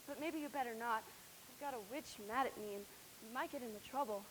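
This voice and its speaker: A woman's voice: a little high, quick pace, a little hiss or hum, close to an everyday voice, poor audio. A woman playing a kind, earnest young girl heroine in a musical. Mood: worried. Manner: sweet, earnest, lively. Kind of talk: musical stage acting with singing.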